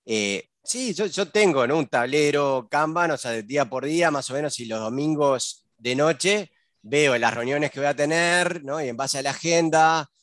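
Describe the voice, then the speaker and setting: A man's voice: a little high, lively intonation, normal speed, fairly bright tone, dry recording, studio quality. A man from Uruguay with a Uruguayan accent, a business consultant chatting casually as a guest and peppering his talk with fillers and tag questions. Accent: Uruguayan Spanish. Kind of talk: casual conversational interview.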